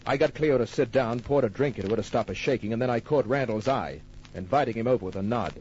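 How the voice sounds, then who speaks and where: An actor playing a wry, tough private detective narrating his case in an old-time radio drama, deadpan and wisecracking. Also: mid-range voice, quick pace, a little hiss or hum, close to an everyday voice. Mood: wry, matter-of-fact. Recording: muffled old radio recording with music.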